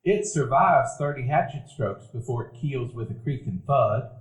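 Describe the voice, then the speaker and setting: A man narrating a live radio play calmly, as a grown man recalling his boyhood. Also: mid-range voice, normal speed, close to an everyday voice. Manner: calm, reminiscent storytelling. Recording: roomy live stage recording.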